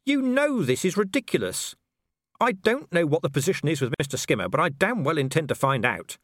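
A man voicing a gruff, exasperated middle-aged commander who talks bluntly and indignantly in an audiobook. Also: fairly high pitch, very animated, brisk, a bit bright, no room sound, studio quality, voice pushed up. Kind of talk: audiobook character voice.

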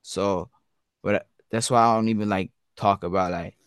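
Young raised male guest, relaxed slangy chat, low clear voice. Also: moderate pace, dry recording, clean studio sound. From Switzerland. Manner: laid-back slang, says "bro" often. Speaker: guest artist. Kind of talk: casual interview chat.

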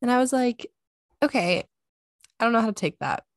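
A woman's voice: on the high side, lively intonation, moderate pace, dry recording. Young female co-host with a Southern drawl, dry and teasing, recorded close and dead. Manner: wry, teasing, offhand. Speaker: podcast co-host. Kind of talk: casual chat.

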